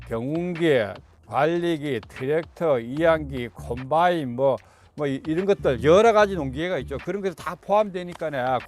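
A middle-aged man talks directly to viewers. He explains things informally and politely, circling through his points in folksy, colloquial phrasing. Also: on the high side. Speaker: video host giving farming tips.

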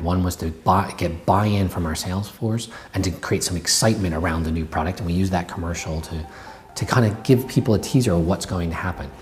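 A man in his thirties with a very low voice explains a business project in an earnest corporate interview. He is recorded indoors on a lapel mic, with soft music faintly behind him. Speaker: bank executive interviewed. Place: indoor office with brick wall.

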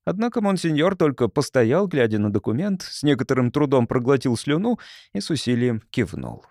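A man's voice: medium pitch, sing-song, a little fast, darkish tone, no room sound, clean studio sound. A man reading literary prose as a scripted audiobook narrator.

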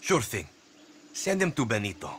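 A man's voice: medium pitch, big pitch swings, moderate pace, fairly bright tone, a little hiss or hum. An actor playing a blunt male guerrilla fighter out for revenge, who swears casually, in a video game.

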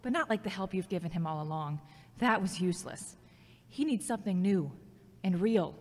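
A woman's voice: fairly low pitch, animated, normal speed, darkish tone. A woman acting a worried, frank woman who prays in a wry, informal way in an audio drama. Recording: echoey hall with long reverb.

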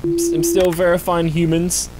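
A man's voice: little pitch movement, normal speed. A young man hosting a gaming video with casual reaction commentary. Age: young adult. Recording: clear rumbling game noise behind. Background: horror game rumble.

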